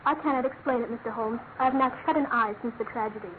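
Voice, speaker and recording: An actress playing an earnest, anguished young British fiancée with an emphatic, halting delivery in a classic radio drama. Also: female voice, a little high, natural voice. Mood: distraught, indignant. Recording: noisy, narrow-band old radio recording.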